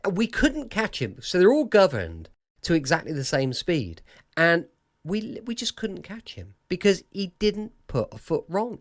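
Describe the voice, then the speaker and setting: A man's voice: on the high side, no room sound, studio quality. A man with a British accent chatting informally as a podcast host.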